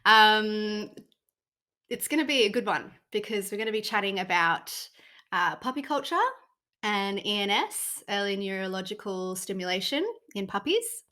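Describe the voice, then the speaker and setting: A woman's voice: medium pitch, moderate pace, bright, forceful tone. A woman co-hosting a podcast, introducing the guest and asking casual questions with upbeat interjections. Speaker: podcast co-host.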